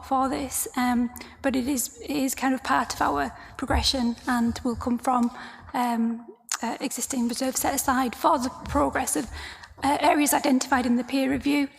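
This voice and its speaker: A woman council officer with a croaky voice, explaining a report at a formal meeting, hesitant, with many fillers and restarts. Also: sits high, fairly even intonation, moderate pace, in a large hall, a little hiss or hum.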